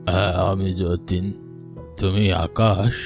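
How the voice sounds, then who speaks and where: A man voicing the menacing ghost of a village schoolmaster in a horror cartoon, speaking slowly and ominously. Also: low voice, fairly even intonation, darkish tone. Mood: quietly ominous. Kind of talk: dubbed horror cartoon dialogue. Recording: music under the voice.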